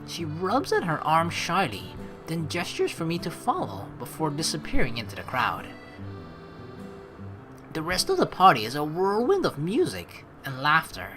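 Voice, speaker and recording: A woman hosts a story game playthrough in a bright, forceful voice. Her pitch sits a little low but moves around a lot as she reads narration and tosses in playful, chatty asides. Soft music plays behind her. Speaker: let's play host. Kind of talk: game commentary mixed with reading story text aloud.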